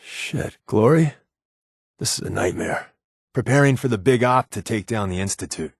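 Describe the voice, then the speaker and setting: A man's voice: on the low side, normal speed, vocal fry, slightly rough, no room sound, studio quality. A man playing a sly, wry undercover resistance agent in a video game, talking in a casual, glib, sardonic way. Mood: alarmed. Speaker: video game companion character. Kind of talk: scripted game dialogue, conversational.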